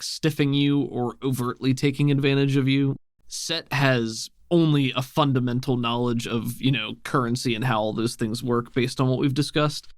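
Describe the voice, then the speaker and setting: A man's voice: medium pitch, dry recording, studio quality. A man acting as game master, narrating a tabletop game and explaining rules in a casual, conversational way.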